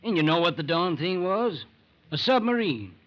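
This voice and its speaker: A man's voice: high voice, sing-song, normal speed, lo-fi sound. An actor playing an anxious, plain-spoken farmer and father in an old-time radio drama. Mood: hesitant, earnest. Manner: plain-spoken, hesitant, rustic colloquial phrasing.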